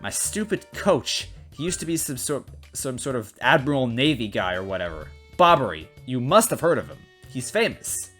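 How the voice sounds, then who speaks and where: A man's voice: medium pitch, very animated, normal speed, hardly any pauses, fairly bright tone, soft background music. Man putting on a brash, pushy young princess. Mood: dismissive. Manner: casual, blunt, filler-heavy talk.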